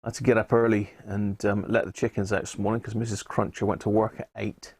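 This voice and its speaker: A man with an English accent and a gravelly voice, hosting a hobby electronics live stream in a chatty, rambling way, thinking aloud as he works. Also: fairly low pitch, level tone, fast talker, a little mellow, no room sound. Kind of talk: casual live-stream chat while working at a bench.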